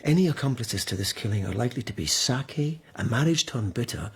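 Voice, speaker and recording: An actor playing an earnest, troubled lieutenant who investigates at an imperial court, sober and guarded in a radio drama. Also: male voice, medium pitch, lively intonation, brisk, breathy edge.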